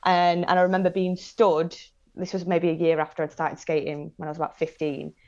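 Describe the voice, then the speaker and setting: A woman's voice: fairly low pitch, flat delivery, brisk, darkish tone, muffled recording. A woman in her late twenties with a Northern English accent, chatting casually as a podcast guest in an enthusiastic, slangy, informal way. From northern England, Manchester and Blackpool area. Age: late 20s. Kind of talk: casual chat, personal anecdotes.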